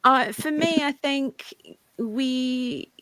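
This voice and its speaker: A woman's voice: high voice, very slow, no echo, low-quality recording. A woman with a British accent whose first language is Polish, speaking as a panelist in a casual online discussion. She jokes a lot and mocks herself. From Britain. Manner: joking, lively and self-mocking. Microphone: video call.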